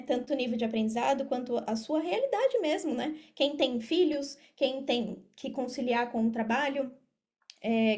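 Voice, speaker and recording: A woman's voice: sits high, darkish tone. A woman with a Brazilian accent talking casually as a podcast guest, explaining things directly to the listener. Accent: Brazilian Portuguese. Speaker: podcast guest, affiliate marketer and mentor. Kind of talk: casual interview talk.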